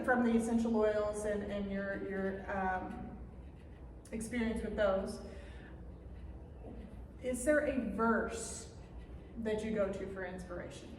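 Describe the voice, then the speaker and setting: A woman's voice: medium pitch, slow, long pauses. A woman co-hosting an interview in a chatty, friendly way, with fillers and restarts. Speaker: podcast co-host. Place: echoey room at a writer's retreat. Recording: echoey distant room mic with faint noise.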